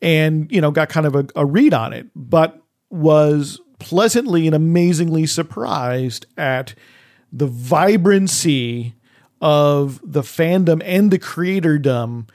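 Middle-aged male podcast co-host, slightly higher-pitched voice, casual and halting, qualifying as he talks. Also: lively intonation, darkish tone. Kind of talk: casual conversational discussion.

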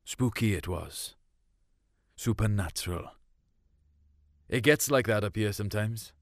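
A man's voice: fairly low pitch, measured pace, no echo. A man reads a first-person story. His delivery is colloquial and confiding, like someone recounting events. The sound is dry, with very little room around the voice. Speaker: short story reader.

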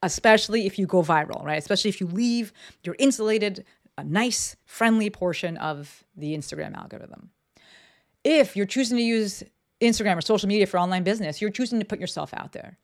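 A woman's voice: medium pitch, fairly quick. A woman hosting her own podcast alone at a desk mic, talking bluntly and casually with lots of swearing and fillers. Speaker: podcast host. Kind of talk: casual solo podcast talk. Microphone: desk microphone.

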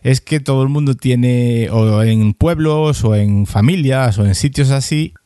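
A man's voice: mid-range voice, unhurried, dark tone, clear, resonant tone, dry recording, clean studio sound. A man from Galicia, hosting a podcast interview in a friendly, teasing way, laughing often. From Galicia, Spain. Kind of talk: casual conversational interview.

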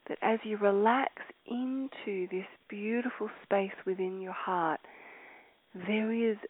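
A woman leading a guided meditation, speaking slowly and softly and now and then trailing into a whisper. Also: medium pitch. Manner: slow, soft and soothing, drifting into whispers. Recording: dry, tinny phone-like sound.

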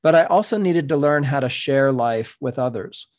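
A middle-aged man whose first language is Spanish, speaking as a podcast guest in an earnest, measured, explanatory way. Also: medium pitch, animated, a little mellow. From New York City. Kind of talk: reflective interview talk.

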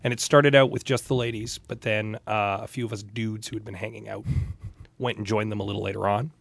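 A man co-hosting a casual interview podcast, speaking in measured phrases with dry humor. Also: on the low side, fairly even intonation, creaky, fairly bright tone, dry recording. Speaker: podcast co-host.

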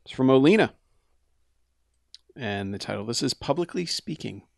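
Casual podcast host, male, mid-pitched, easygoing, dry close-mic sound. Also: gravelly, no echo. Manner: relaxed, laughs often, slows down when reading emails. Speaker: podcast host reading listener mail.

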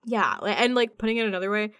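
A woman's voice: medium pitch, fast, fairly bright tone, clear, resonant tone, dry recording, studio quality. A woman co-hosting a podcast, chatting and telling stories in an informal, mildly sweary way. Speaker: podcast co-host. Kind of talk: casual chatty podcast conversation.

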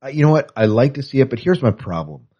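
A man's voice: mid-range voice, big pitch swings, quick pace, slightly rough, lo-fi sound. A man co-hosting a podcast in casual, opinionated chat with wry asides, reading and commenting on news. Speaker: podcast co-host.

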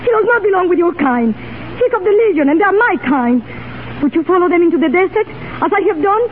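A very high-voiced young woman, fierce and jealous, declaiming her defiance. She is a hardened desert camp-follower in an old radio play. The sound is thin and scratchy, with clear hiss. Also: lively intonation, fast talker. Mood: fierce, impassioned. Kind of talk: 1930s radio drama acting. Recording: scratchy, narrow-band 1930s radio recording.

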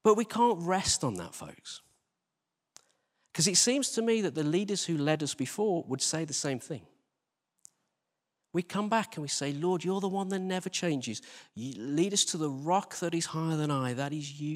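A British man giving an informal church sermon in an earnest, conversational manner, addressing his listeners directly as a preacher. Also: on the high side. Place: large church hall, live service. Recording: reverberant hall.

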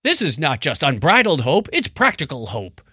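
A man's voice: on the high side, huge pitch swings, moderate pace, sharp tone, breathy edge, dry recording, studio quality. A man hosting a casual podcast, retelling odd news stories in a wry, bantering way. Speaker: podcast host.

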